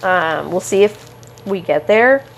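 A young woman hosting a podcast, hoarse and stuffed up from illness, rambling casually with lots of fillers and apologies while walking around her house on a headphone mic. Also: medium pitch, expressive, slow, slight background noise. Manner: meandering, many fillers and apologies, casual swearing. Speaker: podcast host. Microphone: headphone mic into phone.

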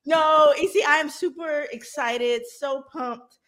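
A woman's voice: high voice, takes it slowly, a bit bright. Bubbly, laughing woman guest. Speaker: guest speaker. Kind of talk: casual interview chat.

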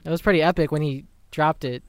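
A young man co-hosting a podcast over a voice call, making joking deadpan quips. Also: fairly high pitch, fast, no echo. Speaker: podcast co-host. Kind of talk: casual chat on a remote call. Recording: noisy voice chat mic.

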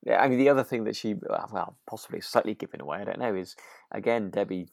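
A podcast co-host, talking thoughtfully and tentatively in relaxed discussion, with hesitant, circling phrasing. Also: mid-range voice, fast talker, gravelly, no room sound, studio quality. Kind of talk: relaxed discussion of a radio drama.

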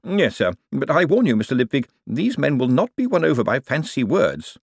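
A man's voice: mid-range voice, huge pitch swings, normal speed, vocal fry, breathy edge, no room sound, studio quality, pitched above the performer's own voice, full character voice. A man voicing a stiff, disapproving senior male clerk who speaks firmly and formally. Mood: warning. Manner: firm, formal, prim.

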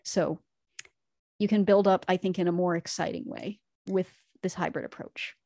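A woman's voice: on the low side, fairly even intonation, moderate pace, creaky, warm tone, no echo, studio quality. Earnest woman co-host explaining teaching methods. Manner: earnest, explanatory, building arguments point by point. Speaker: podcast co-host, Latin teacher. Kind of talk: enthusiastic educational podcast discussion.

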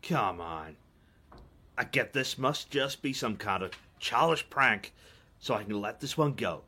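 A man playing a stern, exasperated janitor in an audio roleplay, scolding and demanding with a rough-edged voice. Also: lowish voice, expressive, measured pace, bright, forceful tone, exaggerated voice. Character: stern, exasperated male building employee guarding his keys.

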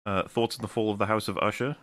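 Man with a low, dry voice, rambling. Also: steady tone, fast talker, a bit bright, dry recording. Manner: rambling, loose, swears and laughs a lot. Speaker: host reading viewer messages. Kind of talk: casual stream chat.

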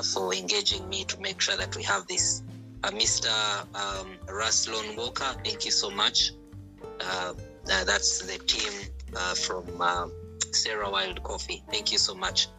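A man's voice: low voice, low-quality recording. Hesitant man hosting a call. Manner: polite, hesitant, many ums and uhs. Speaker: host, panel moderator. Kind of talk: moderating an online panel.

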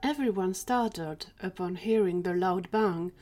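A woman's voice: on the low side, measured pace, dark tone, soft background music. A woman narrating a scripted fiction story as the narrator of an audio drama.

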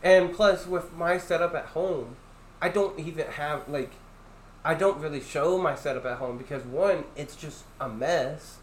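A young man with a loud, deep voice that carries, chatting casually on a podcast at home and rambling through restarts. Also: a little slow. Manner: rambling, many restarts and fillers. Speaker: podcast host. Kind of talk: casual chat. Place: echoey room at home. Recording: echoey room, faint ambient noise.

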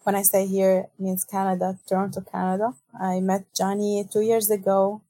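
Woman singer from Syria, warm and flat delivery, dry close sound. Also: mid-range voice, no room sound. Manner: soft-spoken, measured, with hesitations. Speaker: singer, band guest. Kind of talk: casual interview chat.

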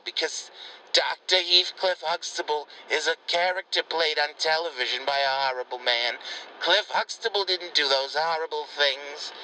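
A rambling old grandmother, put on by a man, fusses and gossips in a high, piercing, very bright voice. She dotes on her grandson one moment and clutches her pearls the next, all in one breathless comedic phone message. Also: slight background noise, rough recording. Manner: fussy, gossipy, doting.

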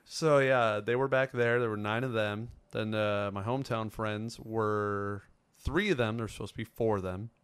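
A man in his twenties hosting a casual chat, telling stories in an animated, joking way. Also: fairly low pitch, moderate pace, clear, resonant tone, no echo. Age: 20s. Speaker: host of a fan sports show.

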